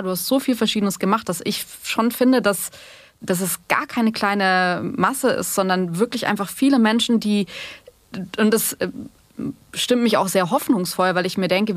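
A woman's voice: medium pitch, no echo. A woman hosting a podcast interview, talking at length in an informal, conversational way.

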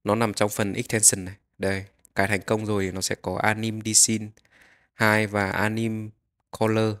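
A man narrating a step-by-step software tutorial, explaining each click as he goes. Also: on the low side, completely flat delivery, normal speed.

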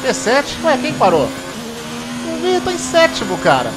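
A man's voice: extremely high pitch, wildly swooping pitch, measured pace, poor audio. A man from Brazil giving casual, joking commentary while he races in a simulator game. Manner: joking, exclamatory, chatty. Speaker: gaming streamer. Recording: clear background noise from game engine.

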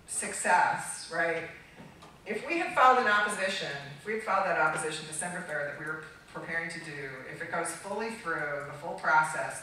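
A middle-aged American woman speaking unscripted to a conference audience, halting and emphatic, restarting her phrases as she goes. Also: deep voice, sharp tone. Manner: halting, emphatic, with repeated false starts. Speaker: conference keynote speaker, trademark attorney. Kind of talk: public talk to an audience, unscripted. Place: large dark auditorium stage, recorded from far off. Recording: echoey hall, distant microphone, faint noise.

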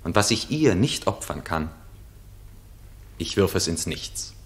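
An actor playing an ardent, devoted young prince in love, with lofty stage diction, in a 1960s German radio play. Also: male voice, sits low, sing-song, measured pace. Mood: ardent, vowing.

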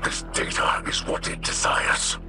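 A man's voice: music in the background, lightly acted. A man voicing a grave, whispery alien mystic. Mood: solemn, compassionate. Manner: formal, deliberate, grave. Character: solemn alien mystic translator, hushed and grave. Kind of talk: video game voice acting.

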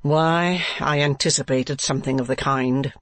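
A man's voice: mid-range voice, steady tone, moderate pace, a bit bright, no echo, natural voice. A male audiobook narrator voicing a stern, impatient uncle who speaks curtly and sarcastically. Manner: curt, sarcastic. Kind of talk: audiobook character reading.

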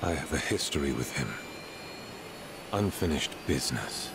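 A brooding, terse game character with an extremely deep voice. He speaks gravely in clipped, deadpan phrases, over clearly audible background noise. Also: moderate pace. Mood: cold, brooding. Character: brooding, terse, mysterious male gunman. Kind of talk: video game voice acting.

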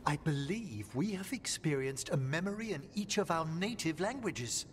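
A man's voice: on the high side, expressive, moderate pace, a little hiss or hum, natural voice. A man playing a scholarly, analytical mage in a fantasy game, articulate and formal in his speech. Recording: long reverb, music behind. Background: music.

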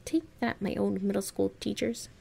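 A woman playing a playful, tender young human in love with a dragon, musing aloud softly in an intimate audio roleplay. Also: medium pitch, steady tone, moderate pace, creaky, slight background noise.

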